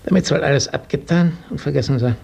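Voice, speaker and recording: A gravelly husky old-time count, lordly n condescending, 1950s german radio play. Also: male voice, fairly high pitch, a little mellow. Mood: lordly, magnanimous. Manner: measured, lordly. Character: condescending aristocratic count, master over serfs.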